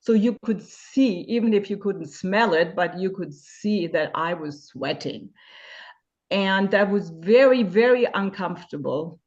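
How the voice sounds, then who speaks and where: A woman's voice: mid-range voice, expressive, measured pace, a little mellow, highs cut off. A woman in her fifties from Germany, a guest in a webinar interview, speaking candidly and searching for words with frequent fillers. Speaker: guest sharing her experience.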